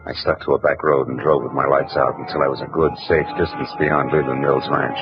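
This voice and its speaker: An actor playing a wisecracking hard-boiled private detective who also narrates, in a 1940s radio drama with a dull old broadcast sound. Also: male voice, a bass voice, fairly even intonation, brisk, loud music behind the voice. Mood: narrating. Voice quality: husky, clipped, tough-talking. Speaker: narrator and lead.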